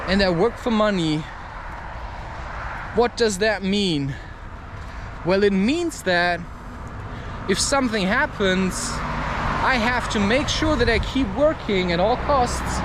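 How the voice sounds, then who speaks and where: A man's voice: sits high, noisy background. A man giving a candid, rambling personal monologue for a vlog, musing and often checking himself with tag questions. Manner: musing, repeatedly seeking agreement with tag questions. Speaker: vlogger and podcaster.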